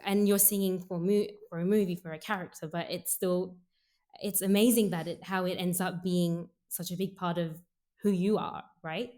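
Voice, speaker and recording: A young woman singer from Sri Lanka, raised in Australia, speaks softly in a relaxed interview. Her voice sits low for a woman, and she thinks aloud, filling her sentences with little fillers as she circles her point. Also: normal speed. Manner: soft-spoken, frequent "like" fillers, thinking aloud. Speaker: singer, guest. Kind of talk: casual interview conversation.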